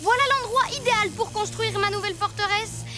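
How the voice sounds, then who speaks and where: A boastful little boy villain, a cartoon mad scientist with an extremely high voice. He is bratty, bossy and theatrical, with loud music behind him. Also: fairly even intonation, brisk. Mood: gloating, menacing. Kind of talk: French cartoon dub acting.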